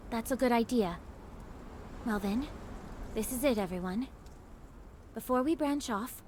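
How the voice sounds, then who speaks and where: A woman playing a composed, gentle young female squad leader in a dramatic video game, with earnest, measured, polished delivery. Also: on the high side, a little hiss or hum, lightly acted. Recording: game dialogue over clear background music.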